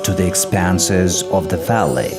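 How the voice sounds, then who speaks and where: Low-voiced man narrating slowly over music. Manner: formal, measured storytelling. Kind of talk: scripted narration of a folk epic.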